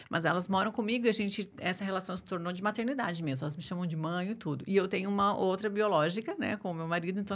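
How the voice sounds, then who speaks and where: A woman in her forties speaks in a fairly low register with a clearly creaky edge. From Curitiba, southern Brazil (born in Argentina). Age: 40s. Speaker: labour lawyer, interview guest. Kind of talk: casual interview conversation.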